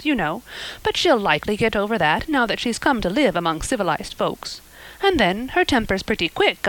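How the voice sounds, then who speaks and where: A woman's voice: a little high, fairly bright tone, no room sound, pitched above the performer's own voice, poor audio. A woman reading aloud, voicing an outspoken, bustling middle-aged neighbour who talks in a hearty, folksy way. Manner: hearty, folksy, rural talk.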